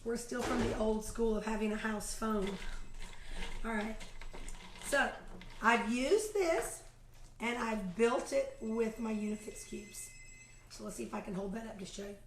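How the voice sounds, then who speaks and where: Woman teacher, raspy from a lost voice, relaxed and talkative, counting things out slowly; echoey room. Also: fairly high pitch, a little hiss or hum. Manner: chatty, counts aloud slowly while demonstrating. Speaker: kindergarten teacher showing math activities. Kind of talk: casual live video demonstration. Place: home, a phone ringing in the house.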